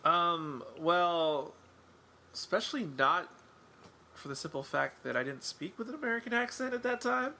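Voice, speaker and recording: A man with a gravelly, bright tone talks casually as a podcast guest. His manner is wry and self-deprecating, and he rambles with plenty of ums and restarts. A faint hiss sits under his voice. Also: high-pitched, expressive, moderate pace. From England.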